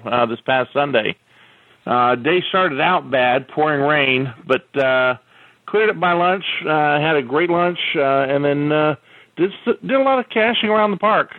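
A man co-hosting a podcast by phone, easygoing and pausing often with "uh". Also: mid-range voice, no room sound, phone-quality sound. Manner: easygoing, many "uh" pauses. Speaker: podcast co-host. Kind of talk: casual podcast chat.

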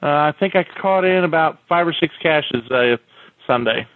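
A man co-hosting a podcast by phone, easygoing and pausing often with "uh". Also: on the high side, dry recording, narrow, tinny audio. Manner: easygoing, many "uh" pauses. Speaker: podcast co-host. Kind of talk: casual podcast chat.

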